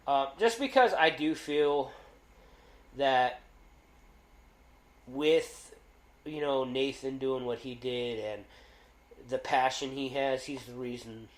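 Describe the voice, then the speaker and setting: A man co-hosting a casual podcast, loud-voiced and rambling, with lots of fillers and restarts. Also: mid-range voice, echoey room. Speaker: podcast co-host.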